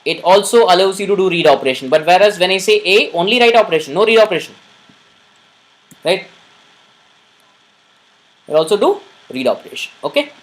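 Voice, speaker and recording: A man's voice: high voice, expressive, a little fast, long pauses, low-quality recording. A man teaching a live online programming class. He repeats each point and often checks that his listeners are following. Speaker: programming instructor.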